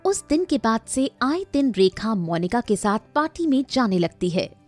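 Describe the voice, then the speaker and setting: Animated high-voiced woman storyteller. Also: moderate pace. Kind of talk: dramatic scripted story narration. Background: faint music.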